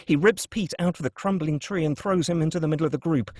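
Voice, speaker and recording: A man's voice: a little high, fast, darkish tone, no echo, studio quality. A man narrating a screenplay, reading out scene headings and stage directions. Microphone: close, dry microphone.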